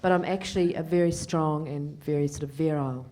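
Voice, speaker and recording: A woman's voice: sits low, fairly even intonation, fairly quick, heavy background noise. A woman voicing a Deaf man on a panel, in the first person, hesitant with many fillers. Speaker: sign language interpreter voicing a Deaf male panellist.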